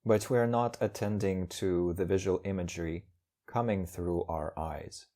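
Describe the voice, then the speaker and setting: A man leading a guided meditation as a teacher, speaking calmly in measured, short phrases with pauses. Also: deep voice.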